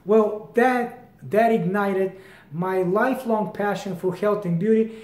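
A Bulgarian-accented man in his fifties talks to camera with a fairly high voice, earnest and often restarting his phrases as he gestures broadly. The sound is roomy, picked up by a camera at desk distance in a home hallway. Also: steady tone, slow, a little mellow. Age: 50s. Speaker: brand founder. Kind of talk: direct-to-camera promotional talk. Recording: echoey room.

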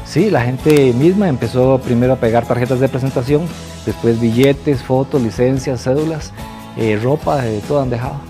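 A man's voice: mid-range voice, moderate pace. A middle-aged man with a Costa Rican accent giving informal interview answers about his workplace. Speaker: restaurant staff interviewee. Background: background music.

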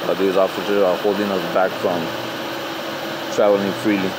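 A man's voice: sits low, level tone, moderate pace. A man, a driver at a nighttime roadside checkpoint, filming on his phone and arguing with officers in a frustrated, pushy way. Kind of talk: narrating to camera and arguing. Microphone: handheld phone. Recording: loud engine and traffic noise. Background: idling engines.

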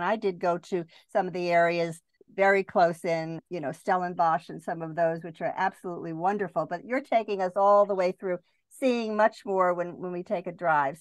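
A woman's voice: lowish voice, no echo. A woman hosting a travel podcast, interviewing a guest in a conversational way.

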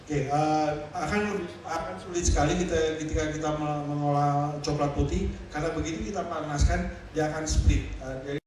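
A man interpreting a chef's demonstration for a live audience, with frequent fillers and restarts. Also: on the high side. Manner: quick, flat delivery with many fillers and restarts. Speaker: interpreter and emcee. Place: large hall with a stage and audience. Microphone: handheld mic. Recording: very echoey hall, heard from a distance, with audible room noise.

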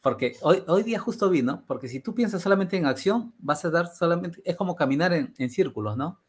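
A man's voice: on the high side, expressive, a little fast, husky. A middle-aged man hosting a casual video-call interview on a headset, talking reflectively and slipping into Portuguese-flavored Spanish. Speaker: podcast host. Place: home studio room.

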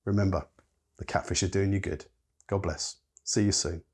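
A man's voice: low-pitched, steady tone, normal speed, darkish tone, breathy edge. A man in his fifties giving an earnest recorded sermon from a home study, leading listeners in repeated phrases. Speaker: church elder. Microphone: webcam at desk.

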